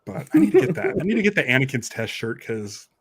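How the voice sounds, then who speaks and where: A man's voice: medium pitch, wildly swooping pitch, fast, breathy edge, dry recording. A man hosting a podcast in casual, conversational talk. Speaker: podcast host. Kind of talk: casual chat.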